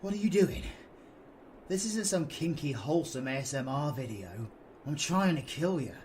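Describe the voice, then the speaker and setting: A man playing a cocky, menacing, flirtatious British supervillain in an intimate roleplay audio drama, breathy and taunting. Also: on the high side, animated, moderate pace, a little hiss or hum, lightly acted. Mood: exasperated, angry. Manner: taunting, breathy, swings between whispering and shouting.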